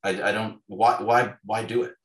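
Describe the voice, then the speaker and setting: Low-voiced man in his fifties, echoey room. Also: steady tone, moderate pace, fairly bright tone. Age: 50s. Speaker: author, interview guest. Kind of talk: reflective conversational interview. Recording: echoey, roomy sound.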